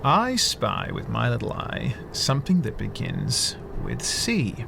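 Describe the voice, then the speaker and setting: Man acting a gravelly, easygoing American husband. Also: mid-range voice, sing-song, unhurried, background noise, full character voice. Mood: playful. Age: 30s. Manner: laid-back, joking. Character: easygoing thirties husband, goofy film nerd, sheepish. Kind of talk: screenplay table-read acting, naturalistic dialogue.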